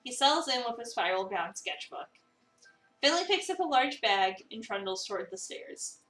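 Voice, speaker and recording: A woman's voice: on the high side, very animated, moderate pace, a bit bright. A woman streamer reading a visual novel aloud and chatting casually with her co-host. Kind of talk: dramatic reading of game narration mixed with casual chat. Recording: echoey room, distant mic, game music behind.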